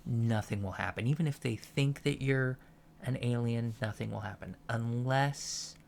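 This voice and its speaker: A soft-spoken man giving a deadpan, matter-of-fact ASMR roleplay lecture as a college teacher. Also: fairly low pitch, vocal fry, a little hiss or hum. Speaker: ASMR performer playing an alien college lecturer.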